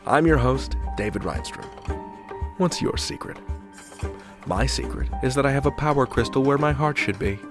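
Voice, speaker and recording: A man hosting a podcast, reading a scripted introduction with wry, deadpan humor. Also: medium pitch, normal speed. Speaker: podcast host. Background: music.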